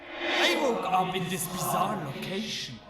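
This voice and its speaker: Man playing a wry, slow-talking ghost mercenary. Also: high-pitched, very animated. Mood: wry, reflective. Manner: sardonic, matter-of-fact, unhurried, casually profane. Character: wry, world-weary male ghost mercenary archer, defensive but loyal. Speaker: voiced follower character in a game mod. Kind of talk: scripted game character monologue. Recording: roomy, distant voice under loud music. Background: loud music.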